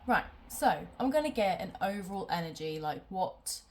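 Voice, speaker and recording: A woman's voice: medium pitch, big pitch swings, moderate pace, a little hiss or hum. A woman doing a casual tarot reading at home, sounding nasal from a cold and rambling with many ums. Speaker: tarot reader.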